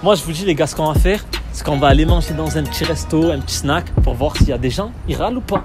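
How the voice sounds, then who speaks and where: A young man vlogging on the street, excitable and laughing often as he talks to his viewers. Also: on the high side, fast. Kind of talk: street vlog, excited banter. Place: city streets at night, outdoors. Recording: street crowd and music behind.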